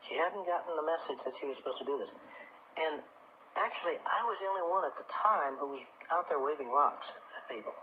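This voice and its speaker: A middle-aged man speaks as a radio guest in a reflective, anecdotal manner, pausing as he finds his words. His voice sits a little high for a man. The sound is thin and phone-like, with clear noise from an old 1980s broadcast. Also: expressive. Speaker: radio guest, crystal healing teacher. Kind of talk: conversational interview storytelling. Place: radio talk show broadcast.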